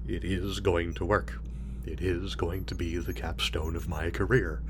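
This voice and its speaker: Obsessive deep-voiced scientist. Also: male voice, little pitch movement, a little slow, noisy background. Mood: anxiously determined. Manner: muttering, self-reassuring, hesitant, swearing. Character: obsessive, irritable male scientist building a drone servant. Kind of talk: audio drama acting.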